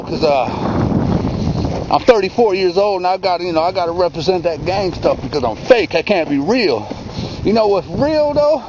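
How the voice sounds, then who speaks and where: High-voiced man rambling in mock-tough slang. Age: 30s. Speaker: vlog host. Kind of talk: rambling comic monologue. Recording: lo-fi with loud background noise.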